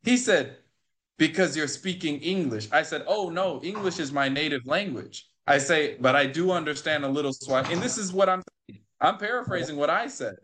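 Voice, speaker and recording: A man's voice: fairly high pitch, lively intonation, a little fast, a bit bright, slight background noise. A man telling a personal story in an interview, acting out the quoted exchanges and repeating phrases for emphasis. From United States. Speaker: interview guest. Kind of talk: conversational storytelling.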